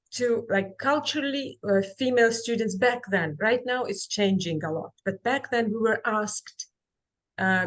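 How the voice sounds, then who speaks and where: Woman, halting speech. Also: fairly high pitch, poor audio. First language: Kazakh. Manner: halting, with frequent fillers and restarts. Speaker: webinar presenter. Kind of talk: online presentation and Q&A. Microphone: video call.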